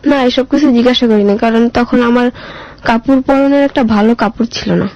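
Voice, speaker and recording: A woman's voice: a little high, normal speed, no room sound, lo-fi sound. A girl in her mid-teens with a colloquial Bangladeshi accent, telling her own story simply in an interview with short, plain answers.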